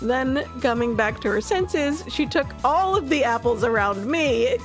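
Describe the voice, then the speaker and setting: A woman streamer narrating a visual novel live, with expressive storytelling. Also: sits high, a little slow. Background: soft game music.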